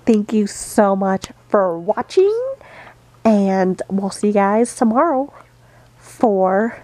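A woman's voice: medium pitch, expressive, slow pace, darkish tone, no room sound. A young adult woman chatting casually in a giggly, self-deprecating way, picked up by a handheld camera in a bedroom. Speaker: vlogger's friend. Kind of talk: casual chat. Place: bedroom, indoors. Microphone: handheld camera, a little away.

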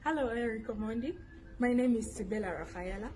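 A woman's voice: on the high side, a little fast, dark tone, a little hiss or hum. A young woman with a Ugandan accent introducing herself to camera as a show contestant. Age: young adult. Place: indoors against a plain wall.

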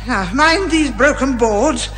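An actress playing a brisk, commanding older British lady detective in a theatrical radio detective comedy. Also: high voice, expressive, a bit bright, soft background music, exaggerated voice. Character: bossy, eager older lady amateur detective, a retired major.

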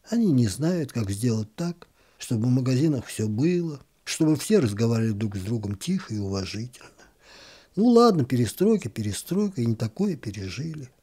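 A man reading a literary story aloud in an expressive, gently ironic storytelling manner. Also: on the high side, slightly rough.

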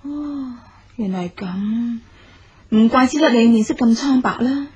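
A woman's voice: fairly high pitch, unhurried, a little mellow, slightly rough, muffled recording. A woman playing a concerned, blunt confidante in a radio drama, with a Hong Kong Cantonese accent. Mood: concerned, probing.

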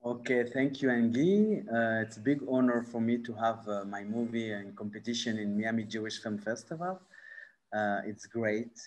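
A man in his forties from France with a French accent, speaking on a video call and often pausing for the right word. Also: medium pitch. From born in Morocco, raised in France. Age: 40s. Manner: halting, searching for words, many fillers; gestures with his hands while talking. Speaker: film director. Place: bright room at home. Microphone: laptop mic on a video call.

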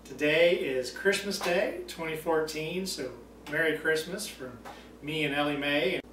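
A man's voice: a little high, unhurried. Easygoing man talking from across a room. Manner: easygoing, relaxed with many fillers. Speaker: author and vlogger. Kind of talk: casual holiday greeting. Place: indoors by a stone hearth at home. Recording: roomy, distant, faint background noise.

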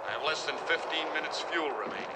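An actor playing a disciplined military pilot making clipped, formal radio calls in a vintage suspense drama. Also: male voice, fairly high pitch, fast, natural voice. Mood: urgent, formal. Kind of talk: mid-century TV suspense drama acting. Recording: vintage TV soundtrack, loud engine noise.

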